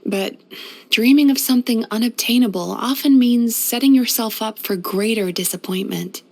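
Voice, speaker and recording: A woman voicing a gentle, caring, centuries-old dream-eating spirit who works as a therapist in a video game, speaking in a soothing, polite way. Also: fairly high pitch, moderate pace. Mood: thoughtful, sincere. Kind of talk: video game character voice lines.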